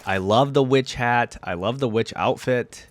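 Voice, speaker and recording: A man's voice: medium pitch, measured pace, faint music behind. A man hosting a casual reaction commentary, speaking informally and musing aloud. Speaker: reaction video host.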